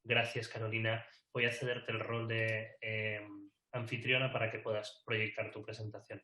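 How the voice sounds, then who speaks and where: A man moderating a webinar, thanking a speaker and introducing the next in a formal, smooth manner. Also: fairly low pitch, monotone, normal speed, pushed and bright. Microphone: video call. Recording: echoey room over a call.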